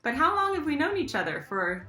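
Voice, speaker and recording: Bright, effusive woman host in a roomy space. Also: sits high, very animated, a little fast, faint music behind. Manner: effusive, laughs often. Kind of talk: interview over video call.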